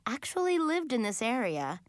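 A woman narrator plays a dreamy, earnest hippie woman who guides children in an audiobook. She speaks in slow, deliberate phrases with a soothing manner, and her pitch moves around freely in a close, dry recording. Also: very animated, dry recording. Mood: serene, instructive.

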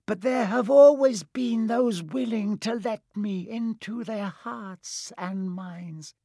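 A narrator voicing an evil, disembodied dark wizard with a high, hissing voice and a slow, menacing delivery, in a storybook reading. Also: no echo, studio quality. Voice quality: high, hissing, breathy whisper at times.

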